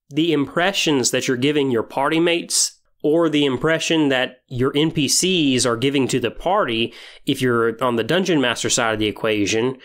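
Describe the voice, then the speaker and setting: Man hosting a podcast, measured and earnest. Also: mid-range voice, fairly bright tone. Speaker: podcast host. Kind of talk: semi-scripted podcast monologue about tabletop role-playing.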